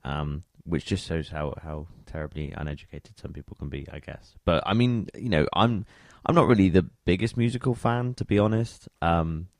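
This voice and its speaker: Very deep-voiced man, creaky. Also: moderate pace, hardly any pauses, a little mellow, dry recording, studio quality. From England. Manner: chatty, conversational. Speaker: radio show host. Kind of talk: casual music show presenting.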